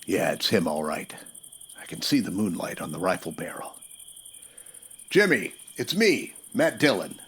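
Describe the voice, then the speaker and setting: Male actor as weary, protective Old West lawman, American. Low raspy voice, laconic, calm authority, audio drama. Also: full character voice. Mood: hushed, wary, then firm, calming. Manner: measured, laconic, calming. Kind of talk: old-time radio western acting.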